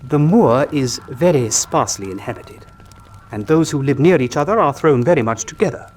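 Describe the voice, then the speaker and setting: An actor playing an earnest country doctor who tells a grim tale gravely and articulately in a radio drama. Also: male voice, medium pitch, lively intonation, normal speed, a little mellow, slight background noise, natural voice. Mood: confiding.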